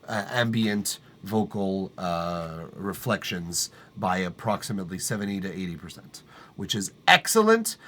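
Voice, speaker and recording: Male streamer with a tenor voice, laid-back, profane and rambling, with lots of ums. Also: on the low side. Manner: loose, profane, rambling with fillers and restarts. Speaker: livestream music feedback host. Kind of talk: casual live-stream chat. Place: acoustically treated home studio room.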